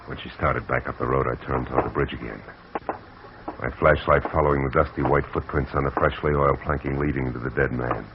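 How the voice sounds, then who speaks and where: An actor playing a tough private detective, clipped and wry, narrating in old radio-drama style. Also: male voice, extremely low pitch, steady tone, brisk. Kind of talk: 1940s radio acting. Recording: dull old radio broadcast with faint hiss.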